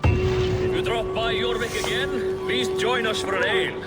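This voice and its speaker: A man's voice: extremely high pitch, big pitch swings, moderate pace, loud music behind the voice. A man playing a wry, genial Viking king in a video game, with a droll, courtly manner. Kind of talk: dramatic video game acting.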